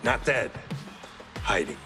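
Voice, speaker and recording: A man's voice: fairly low pitch, very animated, slow, music in the background. An actor playing a menacing, proud swordsman from a hidden clan in a video game, terse and commanding. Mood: proud, determined. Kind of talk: cinematic video game acting.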